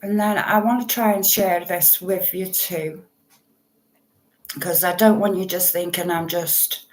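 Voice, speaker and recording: A woman talks unhurriedly in a casual livestream. She rambles and apologizes, pausing often as she sorts out her setup. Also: steady tone, rough recording. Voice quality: low voice. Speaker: true crime channel host.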